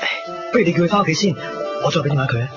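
A man's voice: fairly high pitch, animated, very fast, close to an everyday voice. An actor playing a busy, practical young man with a Hong Kong accent in a naturalistic radio drama. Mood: hurried, decisive. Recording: dull lo-fi radio drama, music bed.

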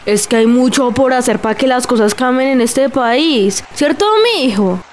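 A woman acts out a chatty, folksy 1920s working wife in a radio play. Her voice is fairly high, with a Colombian accent. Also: moderate pace, a little hiss or hum, natural voice. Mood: admiring. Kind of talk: school radio drama acting.

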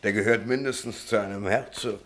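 An actor in a radio play playing a sneering, coarse troupe player who taunts mockingly. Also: male voice, fairly low pitch, fairly even intonation, brisk, fairly bright tone, close to an everyday voice. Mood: gleeful mockery. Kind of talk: German radio play acting.

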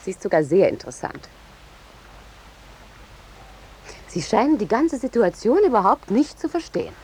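A brash female war reporter, hungry for sensation and full of her own importance. Her delivery is insistent and swoops animatedly up and down, in old radio drama style, with clear noise on the recording. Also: mid-range voice, sing-song, darkish tone, rough recording. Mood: condescending. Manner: brisk, insistent, self-important. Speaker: actor in a radio play. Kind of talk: 1950s German radio play acting.

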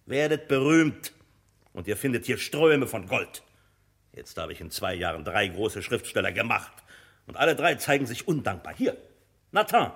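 A bossy cynical book publisher in a radio play, voice jumps all over, bit rough, talks down to everyone. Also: male voice, on the low side, sing-song, normal speed, fairly bright tone, heavily acted. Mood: cynical, grandiose. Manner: condescending, blustering. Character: imperious, cynical, boastful male publisher and bookseller. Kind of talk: German radio drama acting.

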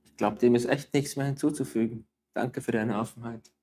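A man's voice: medium pitch, fairly even intonation, fairly quick, mellow. A man hosting a conversation, giving brief affirmations and thanks to his guest. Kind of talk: casual conversation.

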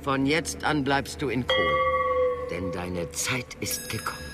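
An actor playing a grave, authoritative ruler in a fantasy radio drama, speaking in a measured, portentous and commanding manner. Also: male voice, mid-range voice, animated, natural voice. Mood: grave, foreboding. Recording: loud music under the voice.

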